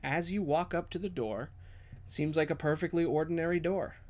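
Male game master, slightly high register, improvised and descriptive tabletop storytelling with a dramatic touch. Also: fairly quick, darkish tone. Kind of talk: improvised tabletop fantasy narration.

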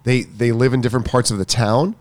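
A man's voice: mid-range voice, fairly even intonation, a little fast, a little mellow, no room sound. A man who coaches tennis, co-hosting a casual chat, earnest and often restarting his sentences. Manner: earnest, interrupts himself and restarts.

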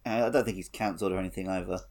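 A man's voice: sits low, fairly even intonation, very fast, darkish tone, a little hiss or hum. A man co-hosting a casual gaming podcast in an informal, conversational way. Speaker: podcast co-host. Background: faint music.

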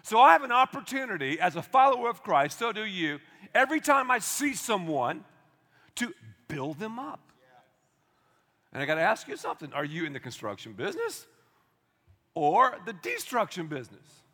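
Male pastor, loud and bright, animated delivery, long church reverb. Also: high-pitched, normal speed, pauses often. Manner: energetic, conversational preaching with audience asides. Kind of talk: sermon. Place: large church auditorium.

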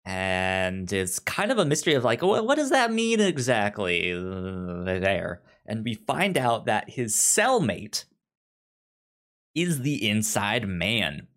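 Slow, halting low-voiced man. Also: very animated, fairly bright tone. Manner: halting, often stammering on words. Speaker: podcast co-host. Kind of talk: casual book discussion.

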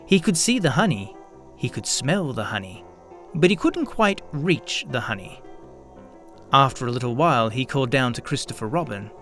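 A man narrating a scripted children's story in an expressive, measured storytelling manner. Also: mid-range voice, soft background music.

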